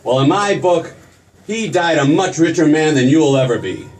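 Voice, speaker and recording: Guy playing excitable stammering young small town dreamer, radio play, voice jumps around, distant noisy recording. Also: on the high side, moderate pace, close to an everyday voice. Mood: indignant. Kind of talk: old-time radio drama stage acting. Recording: noisy stage recording from far off.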